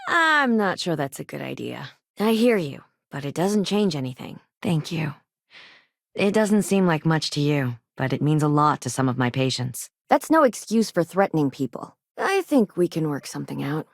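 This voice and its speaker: A woman's voice: sits low, sing-song, moderate pace, gravelly, dry recording, clean studio sound, exaggerated voice. A woman playing a firm, caring frontier doctor in a space game, plain-spoken and casual in her delivery. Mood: firm, negotiating, then polite, busy. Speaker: video game character. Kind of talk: acted video game dialogue.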